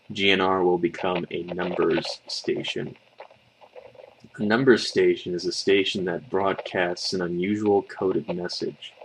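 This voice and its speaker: Deep, creaky-voiced man narrating horror. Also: background noise. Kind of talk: scripted creepypasta narration.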